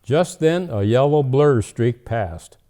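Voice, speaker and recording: A man playing a jolly, gentle old Santa Claus, reading storybooks and chatting in an unhurried, deliberate way. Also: mid-range voice, expressive. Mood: storytelling. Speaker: storyteller host. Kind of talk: storybook reading and casual chat.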